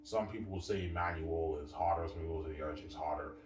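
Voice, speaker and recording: Deep-voiced man rambling in a roomy space. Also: fairly even intonation, fast talker. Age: 30s. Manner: repetitive, fills with "obviously" and "you know what I'm saying". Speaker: vlogger. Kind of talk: rambling personal monologue. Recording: roomy and distant with faint background hiss.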